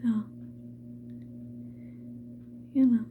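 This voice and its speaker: Breathy woman whispering asmr makeup chatter, casual, highish voice, kinda muffled lo-fi audio. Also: little pitch movement, extremely slow, slight background noise. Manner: casual, chatty, talking to the viewer. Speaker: ASMR video creator. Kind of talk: ASMR makeup get-ready-with-me chat.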